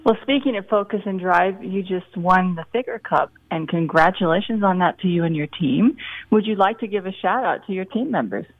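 A woman co-hosting a radio sports talk show, cheerful and bright, heard over a tinny phone line. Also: medium pitch, fairly quick, dry recording. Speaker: radio co-host.

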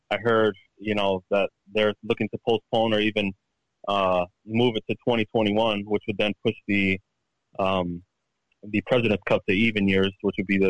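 Halting soft-spoken golfer, lower voice. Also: male voice, no room sound, poor audio. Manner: halting, repeats words while searching for them. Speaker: professional golfer, guest. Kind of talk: casual interview answers. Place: at home.

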